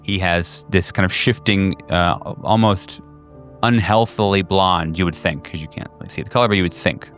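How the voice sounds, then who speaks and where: A man working as game master, improvising horror narration in a halting way with drawn-out pauses over music. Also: low voice, a bit bright.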